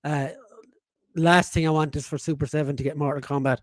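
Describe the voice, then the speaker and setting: A man's voice: on the high side, flat delivery, a little fast, no echo. A man in his forties hosting a casual live-stream podcast, reading chat messages aloud, laughing often and swearing freely. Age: 40s.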